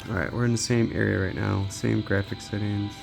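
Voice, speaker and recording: Low, gravelly man thinking aloud. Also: little pitch movement, a little fast, over music. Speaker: handheld gaming reviewer. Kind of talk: casual off-the-cuff gameplay testing commentary.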